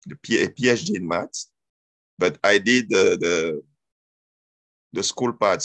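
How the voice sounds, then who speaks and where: A man's voice: sits low, a bit bright, dry recording. A man, telling his story as an interview guest, hesitant with many fillers and restarts. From Cameroon.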